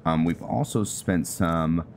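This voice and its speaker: A man's voice: sits low, slow, vocal fry, background noise. A man giving casual gameplay commentary, rambling and hesitant as he thinks aloud. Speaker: gaming video host; roleplay story writer. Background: music.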